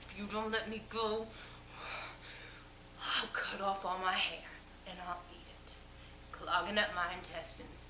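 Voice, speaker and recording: A woman playing a melodramatic, vengeful young woman, making drawn-out threats in an overwrought theatrical monologue. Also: medium pitch, fairly even intonation, natural voice. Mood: menacing, overwrought. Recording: roomy echo, noisy background.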